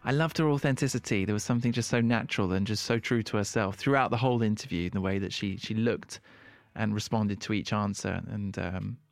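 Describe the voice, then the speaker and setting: A man's voice: on the low side, brisk, creaky, few pauses, dry recording. A man hosting an interview podcast, enthusiastic and playful, laughing a lot. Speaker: podcast host. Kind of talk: casual interview chat.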